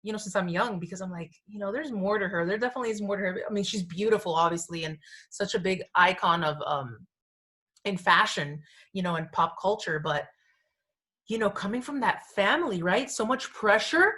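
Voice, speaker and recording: A woman's voice: mid-range voice, a little fast, a bit bright. Gushing, emphatic woman podcast host. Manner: gushing, emphatic, informal slang, repeats phrases for emphasis. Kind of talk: casual pop culture chat, ranting.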